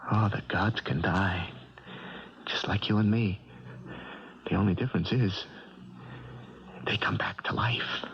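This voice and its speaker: An actor playing a troubled, earnest prizefighter haunted by a dream, halting and intense in a 1970s radio drama. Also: male voice, on the low side, fairly even intonation, lightly acted. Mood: haunted, fearful. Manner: halting, repeating words, intense, colloquial. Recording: dull vintage radio recording.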